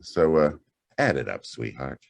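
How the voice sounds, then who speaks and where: An actor playing an impatient, sardonic private detective in a brusque, slangy 1940s radio drama style. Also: male voice, extremely low pitch, very animated, measured pace, creaky, heavily acted. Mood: brusque, commanding.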